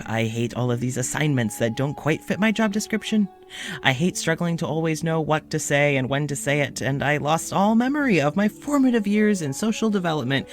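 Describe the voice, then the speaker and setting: An actor playing an exhausted, anxious doctor recording an audio journal, speaking haltingly and wearily. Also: male voice, fairly high pitch. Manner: halting, weary, pleading, breaks into shouting. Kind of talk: audio drama monologue.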